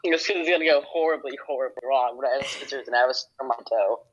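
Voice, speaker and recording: Young guy bragging and joking on a podcast, mid pitch, kinda dull lo-fi sound. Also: rapid-fire, a bit bright. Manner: boastful, joking, rambling. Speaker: podcast guest. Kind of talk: casual podcast banter.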